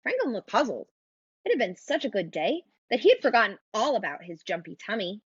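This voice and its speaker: A woman reading a children's storybook aloud in an expressive narrating style. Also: mid-range voice, brisk, slightly rough, dry recording, highs cut off. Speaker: storybook reader.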